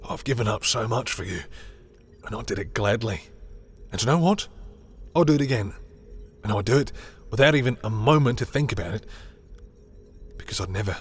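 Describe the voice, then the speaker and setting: Male villain , voiced by an actor, fairly low pitch; guarded, proud, tender confessional romance roleplay, dramatic halting delivery. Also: lively intonation, husky, faint music behind. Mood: tender, resigned, then fervent, defiant. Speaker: roleplay audio performer. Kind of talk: intimate second-person romantic roleplay acting.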